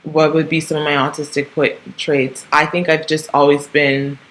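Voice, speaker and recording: A woman's voice: sits low, little pitch movement, moderate pace, a bit bright, rough recording. A woman in her thirties who grew up in Canada talks through a casual solo podcast monologue, rambling with frequent ums, restarts and tag questions. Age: 30s. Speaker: podcast host.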